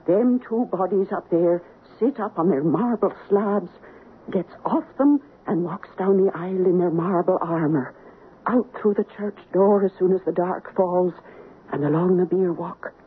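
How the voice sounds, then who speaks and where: A woman's voice: on the low side, unhurried, natural voice. An actress plays a Scottish village housekeeper, a superstitious woman full of fear. She speaks in rustic dialect with a solemn, foreboding manner. It is 1970s radio drama, thin and tinny with faint hiss. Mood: ominous warning. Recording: tinny, noisy 1970s radio broadcast recording.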